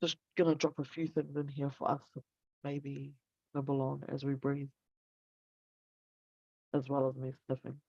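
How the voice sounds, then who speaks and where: A woman's voice: rumbling low, monotone, unhurried, pauses often, a little mellow, no echo. New Zealand woman host, meandering spiritual talk, dry dull muffled audio. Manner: meandering, searching for words, spiritual. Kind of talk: casual online talk, guided breathing.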